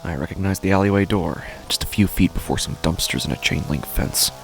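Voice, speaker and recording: Male actor as menacing street tough, horror podcast monologue, rock-bottom pitch, heavily creaky and gravelly, brooding, halting delivery, nihilistic. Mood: bitter, dismissive. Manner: brooding, halting, deliberate, with heavy pauses and sighs. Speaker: horror fiction podcast narrator. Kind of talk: first-person dramatic horror monologue. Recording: music under the voice. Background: background music.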